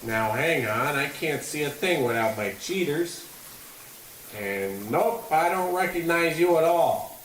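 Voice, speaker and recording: A bossy, clueless old-time baseball coach, blustering in a fairly high male voice. The style is old radio comedy. It sounds echoey, a little off the mic, with faint noise. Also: expressive, unhurried, fairly bright tone. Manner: blustering, old-fashioned slang. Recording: roomy, slightly distant, faint noise.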